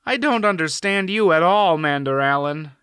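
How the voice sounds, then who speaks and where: A man voicing a nervous teenage boy who falters, in a raised bright tone. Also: on the high side, lively intonation, moderate pace, clean tone, no room sound, clean studio sound, pitched above the performer's own voice. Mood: flustered. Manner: startled, faltering.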